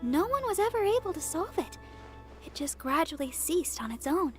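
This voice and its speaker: Young church girl, high-pitched, sweet, earnest, anime game style, faint background music. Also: big pitch swings, moderate pace. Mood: thoughtful. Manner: gentle, thoughtful, earnest.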